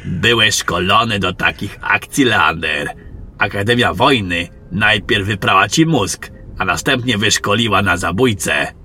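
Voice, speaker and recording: Man playing gruff, husky old warrior mentor. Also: lowish voice, a little slow, bright, forceful tone, heavily acted. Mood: brooding, knowing. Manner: terse, brooding, knowing. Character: gruff seasoned older male warrior mentoring a young soldier. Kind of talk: Polish fantasy audio drama.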